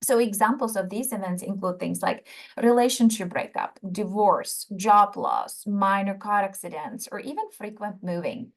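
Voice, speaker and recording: Middle-aged woman, Czech accent, psychologist presenting a webinar, measured and explanatory, mid-range pitch. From Czech Republic. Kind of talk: prepared webinar lecture.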